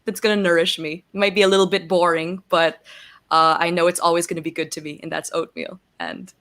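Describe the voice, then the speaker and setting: A woman's voice: lowish voice, little pitch movement, brisk, a bit bright. A young woman guest chatting casually on a video call, giggly and self-deprecating, with many fillers. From born in Singapore, raised in Barbados and the Philippines. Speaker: guest, actor and singer. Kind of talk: casual interview chat.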